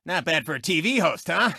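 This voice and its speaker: A man's voice: sits high, gravelly, pushed and bright, slightly rough, dry recording, clean studio sound, full character voice. A man voicing a cocky, sneering race car who hosts a TV show in a video game, boastful and mocking, in a showy broadcaster's delivery. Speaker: TV sports show host and racer. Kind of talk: video game character clips, hammy sportscast commentary.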